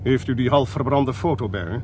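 An actor playing a self-assured, demanding investigator in a radio drama, formal and wry, over a noisy recording. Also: male voice, medium pitch, fairly quick, a little mellow, lightly acted. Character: smooth, self-assured, demanding male investigator with technical gadgets.

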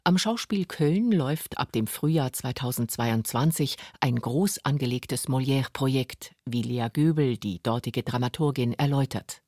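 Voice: mid-range voice, normal speed, hardly any pauses, darkish tone, dry recording. A narrator reading a scripted radio documentary in a studio.

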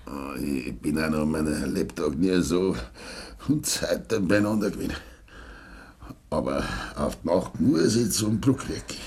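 An actor playing a stubborn, wounded older farmer with a Bavarian accent, gruff and terse in a 1950s dialect radio play. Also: male voice, a bass voice, lively intonation, unhurried, a little mellow, exaggerated voice. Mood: weakened, pleading. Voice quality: gravelly, husky.

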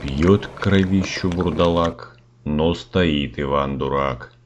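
A man's voice: a bass voice, slow pace, noisy background. A man narrating a comic fairy tale in rhyme, with a performed storyteller delivery. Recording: dull sound with music. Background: music.

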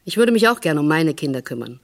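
An actress playing a motherly, resolute middle-aged woman, earnest and measured, in a German radio play. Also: sits low, big pitch swings. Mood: earnest, urging. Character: motherly, resolute childless older neighbour woman, former prisoner.

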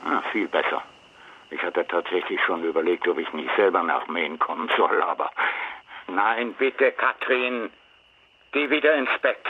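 An actor playing an older, courteous police superior, hesitant and flustered, heard through a telephone in a radio crime drama. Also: male voice, sits low, measured pace. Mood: flustered, sleepy. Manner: hesitant, fumbling, polite. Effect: telephone filter. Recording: dull narrow telephone line with noise.

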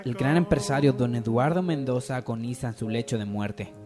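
Man, solemn scripted narrator, parody radio soap, medium pitch, music underneath. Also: fairly quick, a little mellow.

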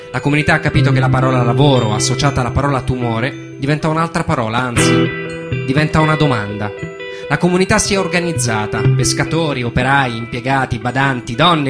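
A man delivering an emphatic theatrical monologue, built on incantatory repetition, as a stage narrator. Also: medium pitch. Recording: loud acoustic guitar accompaniment. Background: plucked guitar music.

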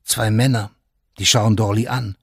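A man's voice: on the low side, steady tone, a little slow, slightly rough, no echo, studio quality. A man narrating a scripted radio drama in a sober, factual manner, in short plain statements.

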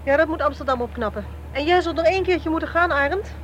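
An actress playing a brisk, caring but impatient policewoman in a naturalistic radio play, direct and down-to-earth. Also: high voice, lightly acted. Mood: firm, concerned. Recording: radio drama with background noise.

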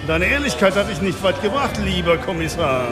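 High-voiced, slimy, camp society man. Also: animated, brisk. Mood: oily mockery. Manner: mincing, sugary, condescending. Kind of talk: radio drama acting. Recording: party music behind the voice.

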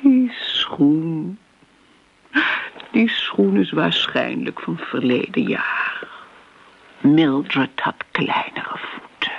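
An actress playing an eccentric, lonely older lady customer in a radio drama, rambling genteelly in a breathy, wistful voice. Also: sits low, exaggerated voice. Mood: fussy. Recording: muffled, noisy old radio play recording.

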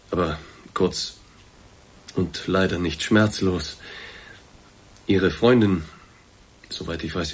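Deep-voiced, courteous, awkward boss. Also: male voice, slightly rough, full character voice. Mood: awkward, hesitant. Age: middle-aged. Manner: formal, hesitant, circumlocutory. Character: courteous, awkward male boss, discreetly breaking bad news. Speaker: actor in a radio play. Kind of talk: German radio drama acting, naturalistic dialogue.

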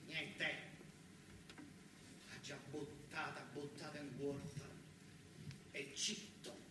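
High-pitched southern Italian man in echoey monologue. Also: flat delivery, slow pace. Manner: colloquial, emphatic, repetitive. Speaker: stage actor performing a narrative monologue. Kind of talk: theatrical first-person storytelling monologue. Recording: very echoey, distant, noisy live hall recording.

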